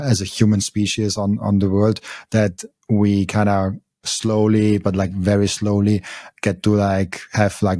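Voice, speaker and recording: A low-voiced man with an Austrian German accent talks in a relaxed, mellow tone with little rise and fall. He is a native German speaker hosting a casual podcast, and he feels his way haltingly through sentences, repeating words as he goes. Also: no room sound, studio quality. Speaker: podcast host.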